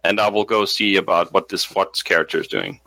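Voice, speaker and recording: A man with a lowish but crisp, forward voice plays a tabletop roleplaying game. He talks casually, repeating words as he works out his plans. The recording is dry and close. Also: big pitch swings, a little fast, bright, forceful tone, dry recording, low-quality recording. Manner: hesitant, repeating words while thinking aloud.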